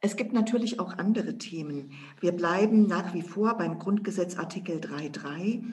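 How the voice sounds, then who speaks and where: A woman's voice: fairly low pitch, moderate pace, a little mellow, a little hiss or hum. A woman co-hosting an educational podcast, formally addressing listeners. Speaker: podcast co-host. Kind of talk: scripted podcast moderation.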